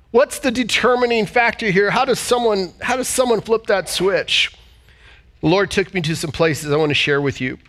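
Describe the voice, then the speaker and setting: A pastor delivering a sermon, a man whose voice sits notably high. He reads scripture aloud and pauses to have the congregation repeat key words back. The service is also streamed to viewers online. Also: fairly bright tone. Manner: preaching with call-and-response and scripture reading. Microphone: church service streamed online.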